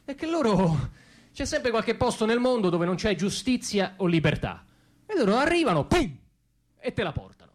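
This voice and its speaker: A man's voice: high-pitched, big pitch swings, moderate pace. A man performing a satirical stage monologue as an ironic, colloquial admirer of America, full of interjections and rhetorical questions. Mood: ironic praise. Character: ironic man gushing over America, mocking stale old Europe.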